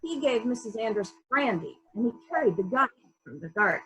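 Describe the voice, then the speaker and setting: A woman's voice: on the high side, expressive, brisk, a bit bright, poor audio. A woman playing a probing, methodical female police detective in a dramatic reading of a murder mystery, musing and questioning as she goes. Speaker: actor in a library's dramatic reading.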